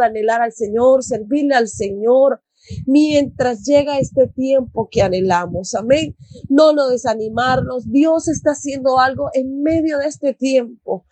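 A woman's voice: high-pitched, mellow, no echo. A woman giving a devotional personal testimony in an earnest, exhorting manner. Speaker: church member giving a testimony.

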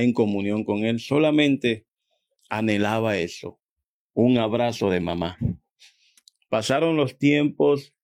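A man from Venezuela with a Venezuelan accent, giving a reflective personal faith testimony in measured, halting phrases. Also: lowish voice, gravelly, darkish tone. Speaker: church member giving a testimony.

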